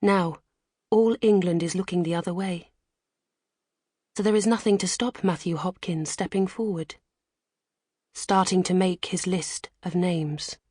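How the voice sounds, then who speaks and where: A woman reading a scripted audiobook in a measured, solemn and breathy way. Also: fairly low pitch, steady tone, mellow, dry recording, clean studio sound. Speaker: audiobook narrator. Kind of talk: scripted dramatic audiobook narration.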